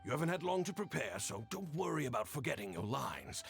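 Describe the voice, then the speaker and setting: A man's voice: medium pitch, very animated, a little fast, creaky. A man voicing an earnest theatre actor in a video game, theatrical in delivery. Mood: reassuring. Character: earnest theatre actor playing a grave royal tutor. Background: background music.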